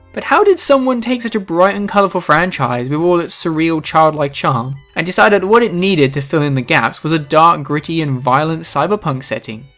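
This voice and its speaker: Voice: medium pitch, fairly bright tone. A video essay host giving scripted, opinionated commentary with an emphatic delivery.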